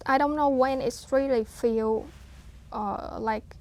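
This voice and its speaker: A woman in her thirties from Vietnam, speaking English as an as an interview guest, hesitant with frequent fillers and soft laughs. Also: high voice, fairly even intonation, takes it slowly. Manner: hesitant, many fillers and restarts, laughs softly. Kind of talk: casual interview talk.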